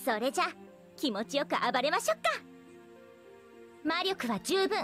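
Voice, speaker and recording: High-pitched, teasing anime girl archer. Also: lively intonation, normal speed, a bit bright, faint music behind. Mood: cocky, combative. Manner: coy, teasing, theatrical. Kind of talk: Japanese anime game voice acting.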